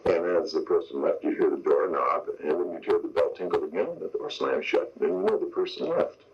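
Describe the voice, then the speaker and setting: A man's voice: low voice, big pitch swings, a little fast, breathy edge, soft background music. An older man, a veteran radio sound effects man, explaining his craft step by step in an interview. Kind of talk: interview reminiscence. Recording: dull lo-fi radio broadcast tape.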